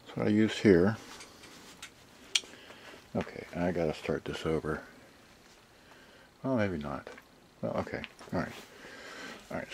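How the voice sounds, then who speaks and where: A man's voice: fairly low pitch, a little mellow. A man casually demonstrating audio gear as a hobbyist, rambling and muttering to himself. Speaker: amateur electronics hobbyist. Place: indoors in a room full of audio equipment. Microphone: handheld camera microphone. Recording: noisy handheld camera audio with clicks.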